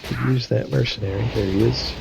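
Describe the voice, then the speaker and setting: A man's voice: medium pitch, fairly even intonation, brisk, vocal fry, noisy background. Stuffy-nosed man commenting on gameplay. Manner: explains decisions thinking aloud, many fillers. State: nasal and stuffy from a cold. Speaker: game streamer.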